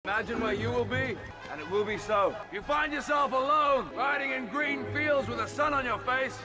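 An actor playing a fierce soldier who rallies his troops in a shouted speech. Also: extremely high pitch, normal speed, loud music behind the voice. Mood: rousing. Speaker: soldier rallying troops in a film. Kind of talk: film dialogue.

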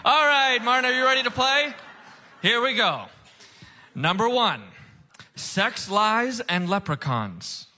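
High-pitched man, showy game show host. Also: lively intonation, slow, sharp tone. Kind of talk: comedy game show hosting before a live audience. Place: stage before a live audience.

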